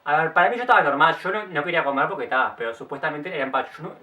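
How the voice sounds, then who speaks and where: A man's voice: a little high, rapid-fire, sharp tone, far from the mic, a little hiss or hum. A young Uruguayan man telling a story to camera in casual, stop-start speech, often restarting his words. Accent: Uruguayan Spanish. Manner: chatty, stop-start, self-correcting. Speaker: vlogger. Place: outdoors in the late afternoon.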